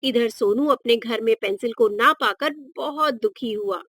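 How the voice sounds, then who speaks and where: A woman's voice: a little high, unhurried, a bit bright, husky, no echo, clean studio sound. A woman narrating a scripted moral story for children as a storyteller.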